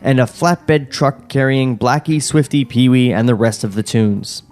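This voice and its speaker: A man's voice: medium pitch, measured pace, darkish tone. Man reading stage directions aloud. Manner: reads directions steadily, breaks off into jokes and laughter. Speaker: podcast host reading a script.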